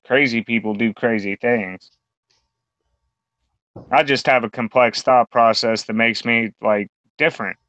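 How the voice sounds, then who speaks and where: A man's voice: mid-range voice, fairly even intonation, measured pace, long pauses, fairly bright tone, no echo. A man co-hosting a podcast, rambling through a casual, crude chat full of fillers and swearing. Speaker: podcast co-host. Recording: dull lo-fi sound.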